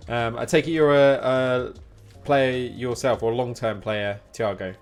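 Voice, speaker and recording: A man's voice: medium pitch, steady tone, measured pace. A man chatting casually and replying to viewers as he live streams a game. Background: music.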